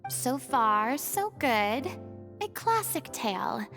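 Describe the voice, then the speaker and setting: A woman voicing a poised, theatrical young noblewoman in a game cutscene, speaking in a drawn-out, dramatic way over music. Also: sits high, very animated, fairly bright tone, full character voice. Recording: game soundtrack music behind.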